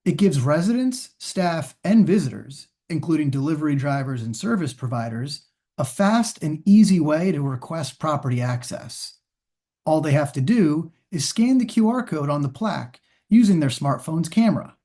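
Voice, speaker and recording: A man presenting scripted sales training in a polished, instructional, measured way. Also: a little high, sing-song, warm tone. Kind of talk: scripted corporate training narration.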